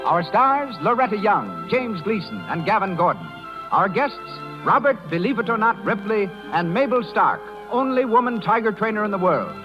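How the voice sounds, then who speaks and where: A man's voice: sits high, big pitch swings, moderate pace. A man announcing a 1930s American radio show and reading its ads in a formal, proclaiming manner, with an American accent. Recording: muffled 1930s radio broadcast with music.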